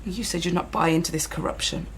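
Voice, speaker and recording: A woman's voice: on the low side, fairly even intonation, fast talker, close to an everyday voice. A woman playing a blunt, sardonic and bitter partner in a naturalistic radio drama. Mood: scornful. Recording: noisy ambient radio drama soundscape.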